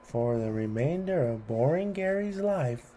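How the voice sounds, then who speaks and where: A man's voice: medium pitch, lively intonation, unhurried, mellow, slight background noise, close to an everyday voice. A man voicing an indignant, imaginative child who casts hexes in a storybook rhyme, speaking emphatically and with great self-assurance. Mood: grandly vengeful.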